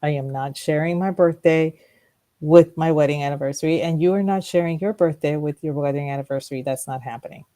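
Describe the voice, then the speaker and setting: Low-voiced woman telling stories on radio. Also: brisk, dark tone. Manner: rambling, laughs often, restarts sentences. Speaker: radio show co-host. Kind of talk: casual storytelling chat. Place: radio studio.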